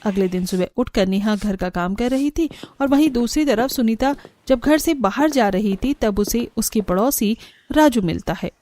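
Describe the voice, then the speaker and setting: A woman narrating an animated story from a script, with music underneath. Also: a little high, moderate pace, no echo.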